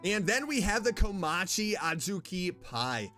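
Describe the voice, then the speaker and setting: A man's voice: sits high, very animated, measured pace, sharp tone, soft background music. A man streaming a game and reviewing sponsored snacks in an upbeat, chatty way. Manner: upbeat and chatty, reading ad copy in between. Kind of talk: casual gameplay commentary and sponsored snack review.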